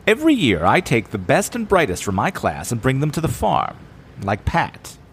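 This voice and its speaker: Theatrical, highly animated professor. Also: male voice, normal speed, slight background noise. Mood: grave, secretive. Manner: formal, smooth, theatrical. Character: suave, secretive criminology professor man who recruits spies. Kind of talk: audio drama dialogue.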